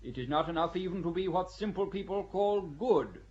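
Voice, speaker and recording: A man's voice: high voice, lively intonation, moderate pace, darkish tone. A man playing a stern, pedantic senior church judge in an audio drama, pompous and commanding. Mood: sententious, cold. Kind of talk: audio drama acting of a stage play. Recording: dull audio with some noise.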